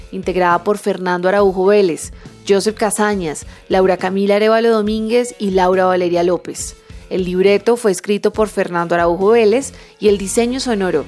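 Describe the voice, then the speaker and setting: A woman announces the closing credits of a podcast from a script. Her voice is medium in pitch, with light music behind it. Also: darkish tone.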